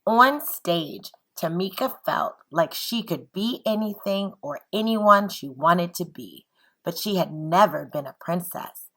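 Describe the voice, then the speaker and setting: A woman teacher reading aloud and speaking to children in an expressive, encouraging, deliberate way. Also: lowish voice, low-quality recording.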